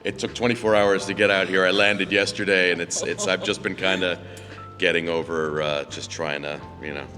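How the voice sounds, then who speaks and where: A middle-aged male voice actor with a slightly hoarse, froggy voice, rambling in a relaxed, self-deprecating way at a convention Q&A. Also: deep voice, normal speed, music in the background. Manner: rambling and self-deprecating, with frequent restarts and fillers. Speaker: voice actor guest at a fan convention.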